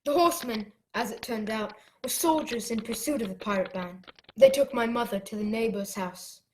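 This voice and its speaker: A woman's voice: a little high, sing-song, moderate pace, a little mellow, slight background noise, low-quality recording. A woman playing an earnest, respectful boy who narrates his adventure in a radio drama. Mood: grave recounting. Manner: earnest, careful storytelling.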